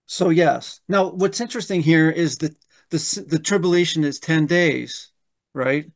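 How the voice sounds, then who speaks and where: A man's voice: on the high side, unhurried. A man in his fifties, a pastor leading a Bible study over a video call from home, who often restarts his sentences and keeps asking "right?". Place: room at home. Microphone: webcam on a video call.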